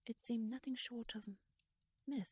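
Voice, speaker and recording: A woman playing a shrewd, teasing royal noblewoman in an ASMR audio roleplay, speaking softly in a wry, courtly, measured way that slips toward a whisper. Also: a little high, fairly even intonation, slightly rough. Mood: cautious, curious.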